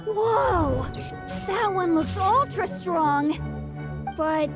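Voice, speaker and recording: Brief: proud young kid champ in a game, high and drawn-out, excitable. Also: heavily acted. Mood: childish. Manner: gloating, excitable. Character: proud little boy, cocky beetle-fighting champ. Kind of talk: video game voice acting. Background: game music.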